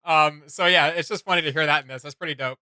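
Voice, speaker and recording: A man's voice: fairly high pitch, fast, pushed and bright, smooth. A man hosting a reaction video in casual commentary, often hesitating as he searches for words.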